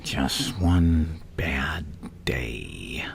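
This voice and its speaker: Crazy evil clown villain, gravelly half whisper asmr, super slow and taunting, swings up and down a lot, some background noise. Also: male voice, very deep voice, lively intonation, exaggerated voice. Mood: taunting, musing. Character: menacing, gleefully insane adult male supervillain clown.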